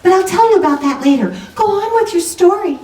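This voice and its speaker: Woman acting society lady, very high pitch, gushing, affected, radio drama, echoey. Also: expressive, fairly quick, warm tone. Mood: sweet, concerned. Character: solicitous society woman, sweetly theatrical. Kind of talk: 1940s radio drama reading. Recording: echoey room, distant mic, faint noise.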